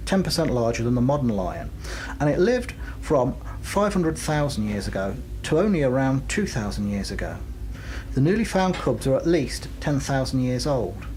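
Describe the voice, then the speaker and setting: Guy reading news on local radio, medium pitch, bit of background noise in the studio. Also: darkish tone. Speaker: radio co-host.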